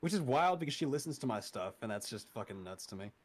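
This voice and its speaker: A man's voice: medium pitch, lively intonation, fast talker. Laid-back swearing young man. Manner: laid-back, swears often, laughs a lot. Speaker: interview guest, horror narrator. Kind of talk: casual interview chat.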